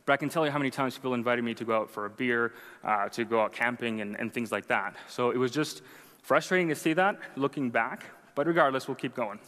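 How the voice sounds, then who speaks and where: A man's voice: mid-range voice, fast talker, fairly bright tone, big-room sound. A young man whose first language is Arabic, telling a personal faith story to an audience in a conversational, self-deprecating way with frequent "right?" asides. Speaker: speaker sharing a conversion testimony.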